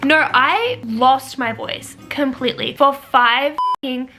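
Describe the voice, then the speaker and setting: A woman in her twenties vlogging from her couch at home, talking chattily to the camera and rambling with quick asides. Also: sits high, animated, music in the background. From Australia. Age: 20s.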